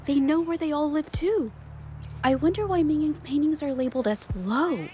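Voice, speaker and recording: A woman's voice: sits high, animated, moderate pace, a little mellow, slight background noise. An actress playing an inquisitive, earnest young female investigator in a video game, speaking thoughtfully. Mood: concerned. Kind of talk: scripted game voice acting.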